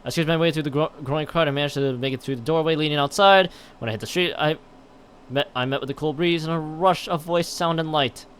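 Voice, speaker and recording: A man's voice: a little high, steady tone, quick pace, fairly bright tone, slight background noise. A man commentating on a game stream and reading game text aloud in a rambling way, often stumbling and correcting himself. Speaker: live streamer.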